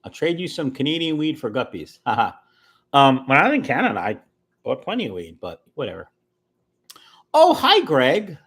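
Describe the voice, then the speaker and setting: Animated fifty-something man chatting live. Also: mid-range voice, normal speed, slightly rough. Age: 50s. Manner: chatty, rambling, answers viewer comments aloud. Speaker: fishkeeping livestream host reading chat. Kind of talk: casual live chat.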